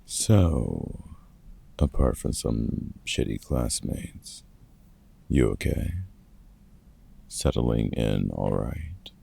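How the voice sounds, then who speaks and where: Man playing very deep, gravelly, kindly vampire hunter. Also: expressive, dark tone, exaggerated voice. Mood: warm, wry. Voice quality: gravelly, husky. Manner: gruff, sweary, casual mentor talk with pauses. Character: gruff, kind-hearted veteran male vampire hunter and woodworker. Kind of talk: comfort ASMR audio roleplay.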